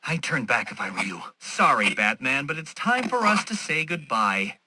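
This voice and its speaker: A sharp, smug genius villain. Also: male voice, mid-range voice, big pitch swings, moderate pace, husky, no echo, full character voice. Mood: menacing. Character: smug, condescending male genius villain, taunting and superior. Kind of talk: video game villain voice acting.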